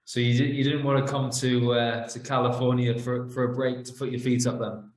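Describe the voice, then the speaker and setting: A man's voice: medium pitch, level tone, fairly quick, darkish tone. Podcast host man in an echoey room. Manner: polite, scripted introduction with fillers. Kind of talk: interview hosting.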